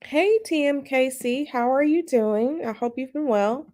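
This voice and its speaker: A woman with a slightly raspy morning voice, chatting casually with viewers on a live stream from home and greeting them by name. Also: high voice, measured pace, mellow. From United States. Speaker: tarot channel host. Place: at home, bedroom.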